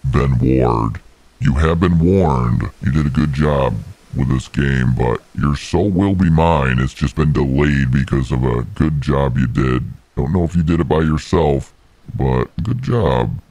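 A man voicing a gloating, mocking male devil who calls himself the Dark Lord, with a very deep gravelly voice. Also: no echo, heavily acted. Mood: menacing. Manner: taunting, drawn-out menacing delivery. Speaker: recurring comic co-host.

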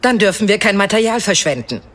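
A woman's voice: medium pitch, big pitch swings, quick pace, a bit bright. A woman playing a terse, determined elven ranger captain in a dramatized fantasy audiobook. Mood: wary, focused.

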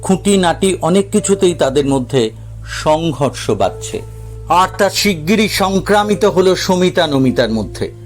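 A man's voice: fairly high pitch, animated, unhurried. A man reading a scripted story as a narrator, with dramatic storytelling delivery. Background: background music.

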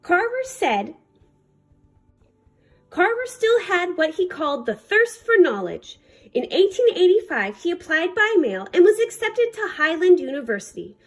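A woman reading a children's picture book aloud as a librarian, in careful, deliberate short phrases. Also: extremely high pitch, sing-song, faint music behind.

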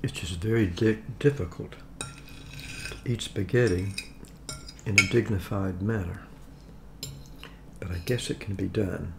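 A man in his seventies chatting casually to camera in short, halting phrases while he eats. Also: low voice, fairly even intonation, creaky. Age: 70s. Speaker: vlogger eating on camera. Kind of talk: casual mealtime chat to camera. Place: home dining room. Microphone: camera across the table. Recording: noisy room with cutlery clinks.

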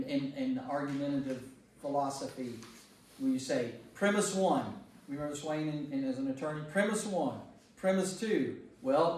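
A man's voice: fairly high pitch, darkish tone. A man leading a church Bible study as priest, teaching informally and checking in with rhetorical questions. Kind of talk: informal teaching to a discussion group. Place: reverberant room at a church group meeting. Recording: very echoey room, distant mic, faint noise.

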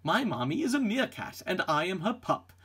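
A man's voice: sits high, sing-song, moderate pace, fairly bright tone, breathy edge. A man reading a picture book aloud expressively for children. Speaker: storybook reader.

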